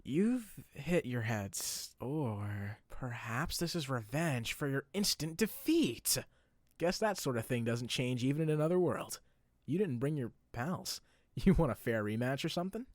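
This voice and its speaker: A man's voice: mid-range voice, animated, a little slow, no room sound, clean studio sound, lightly acted. A man voicing a cocky, hot-headed young shut-in in an anime fan dub, with a brash, taunting, theatrical delivery. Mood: sarcastic, taunting.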